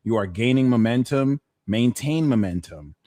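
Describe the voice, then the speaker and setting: A man's voice: mid-range voice, animated, moderate pace, mellow, dry recording, studio quality. A man hosting a live pick-a-card tarot reading, talking in a playful, slangy way with jokes in between. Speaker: tarot reader and host.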